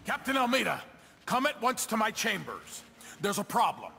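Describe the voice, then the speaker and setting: An actor playing a shrewd male body double of a duke in a video game, speaking in a measured, wry, theatrical way. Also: high voice, very animated, creaky, bright, forceful tone, big-room sound, slight background noise, heavily acted. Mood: grandly feigned concern. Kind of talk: video game cutscene acting.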